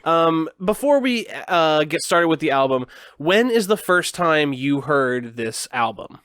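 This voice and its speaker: Podcast host, casual chat, deadpan jokes, laughs a lot, dry close sound. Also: mid-range voice, a little slow, no echo.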